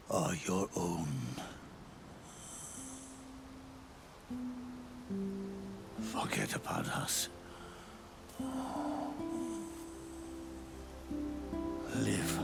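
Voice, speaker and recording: An actor playing a weary, dying old soldier in a video game cutscene, solemn and halting, with a weak, breathy rasp. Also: male voice, low voice, full character voice. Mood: tender, dying farewell. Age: older. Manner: slow, halting, solemn, with long pauses. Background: orchestral music.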